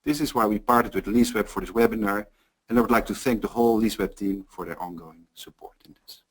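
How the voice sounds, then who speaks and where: A man from the Netherlands hosting a business webinar, reading a prepared introduction in an upbeat way that trails off softly at the end of phrases. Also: lowish voice, quick pace. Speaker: webinar host.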